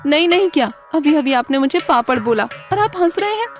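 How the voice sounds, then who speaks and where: A high-voiced young-sounding cartoon village wife, acted by a woman narrator, anxious and quick to take offence. She fires off fretful questions over background music. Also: lively intonation. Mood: indignant.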